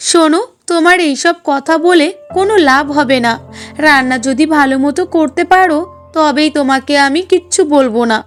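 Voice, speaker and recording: A woman's voice: sits high, normal speed, soft background music, lightly acted. A woman voicing a spiteful, whiny young sister-in-law in a cartoon, scolding and demanding. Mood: bossy, threatening. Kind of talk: Bengali cartoon dialogue.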